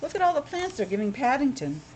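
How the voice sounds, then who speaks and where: A woman reading a children's story aloud in an expressive way, pausing to explain things simply as a teacher would. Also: high voice, a little hiss or hum, rough recording. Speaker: schoolteacher reading a picture book aloud to children.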